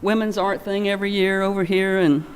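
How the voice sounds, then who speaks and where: A woman's voice: medium pitch, fairly even intonation, a little fast, clear, resonant tone. An older woman telling informal, folksy anecdotes as a remembrance speech in a large church. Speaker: friend giving a remembrance. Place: large church, at a memorial gathering. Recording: long echo of a large church hall.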